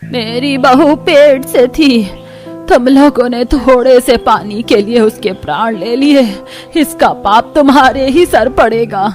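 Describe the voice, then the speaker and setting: A woman playing an older, aggrieved mother-in-law in an animated family story. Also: sits high, slow, faint music behind. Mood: grieving, cursing. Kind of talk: Hindi animated story dialogue.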